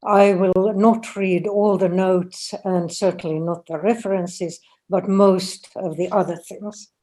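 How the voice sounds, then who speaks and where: A woman, giving a careful, deliberate academic lecture over a video call. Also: mid-range voice, steady tone, warm tone. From Finland. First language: Finnish and Swedish. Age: 80s. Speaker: guest lecturer.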